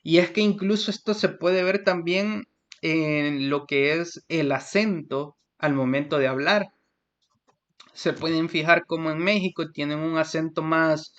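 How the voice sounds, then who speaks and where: A man's voice: a little high, a bit bright. A young man from El Salvador with a Salvadoran Spanish accent, giving a class presentation and explaining from his notes with many fillers and restarts. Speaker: student presenter. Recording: lo-fi muffled recording.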